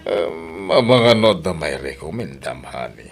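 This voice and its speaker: A man's voice: extremely low pitch, big pitch swings, measured pace, faint music behind, full character voice. A man playing an indulgent, secretive older boss and married lover in an audio drama. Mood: indulgent, coaxing. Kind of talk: Ilocano radio drama acting.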